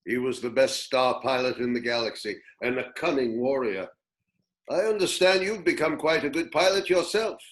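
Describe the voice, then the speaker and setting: A man acts out a wise old hermit of the desert in a sci-fi script reading. He sounds gentle and reflective, at a middling pitch. He measures his words like a patient mentor. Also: normal speed, natural voice. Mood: reminiscing. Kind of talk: script table read acting.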